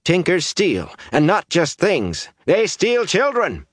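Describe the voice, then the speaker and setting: A man voicing a big, bossy village wise woman with a forceful, scolding manner in an audiobook. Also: sits high, wildly swooping pitch, takes it slowly, dry recording, clean studio sound, pitched above the performer's own voice, exaggerated voice. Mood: indignant, threatening.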